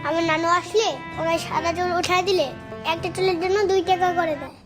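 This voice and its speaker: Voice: very high-pitched, level tone, fairly quick, music in the background. A performer voicing a small, bossy yet caring cartoon child with a Bangladeshi Bengali accent, full of nagging questions. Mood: earnest. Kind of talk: animated cartoon dialogue.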